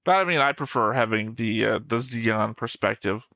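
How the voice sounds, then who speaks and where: A man's voice: medium pitch, expressive, moderate pace, bright, forceful tone, no room sound. A man in a casual fan discussion as a show co-host, talking in a pedantic, insistent way.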